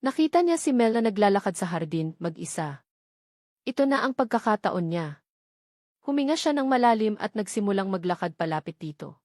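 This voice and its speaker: A woman narrates a romance audiobook in a dramatic, scripted style. Her voice sits at a medium pitch, and the recording is close and dry. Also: very animated, a little fast, a little mellow, no room sound.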